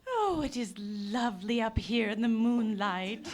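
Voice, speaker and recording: An actress playing a bossy, teasing, plucky young woman adventurer in a live radio play, brisk and theatrical. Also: a little high, expressive, over music. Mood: teasing, mocking.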